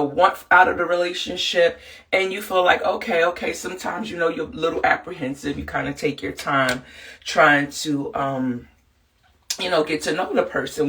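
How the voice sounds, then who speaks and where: A woman's voice: sits low, distant mic. A woman hosting a podcast, a little congested from the flu, talking earnestly and loosely with lots of fillers. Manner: earnest, rambling, many fillers. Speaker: podcast host and counselor. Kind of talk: casual motivational talk.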